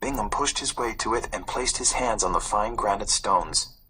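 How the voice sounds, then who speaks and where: A man reading a story aloud as a narrator, in a suspenseful, expressive way. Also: fairly low pitch, moderate pace, a little hiss or hum, poor audio. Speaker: audiobook narrator. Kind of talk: dramatic scripted storybook narration.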